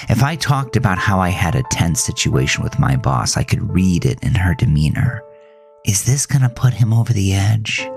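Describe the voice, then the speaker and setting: A man narrating a scripted first-person horror story in a measured, dramatic way with pauses. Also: low-pitched, gravelly. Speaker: host and author reading own horror story.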